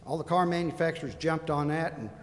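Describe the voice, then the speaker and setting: A man with a fairly high voice speaks as a citizen at a public meeting. He is plain-spoken and emphatic, and his words ring in a reverberant hall. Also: fast talker, a little hiss or hum. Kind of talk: public comment speech. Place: indoor council chamber.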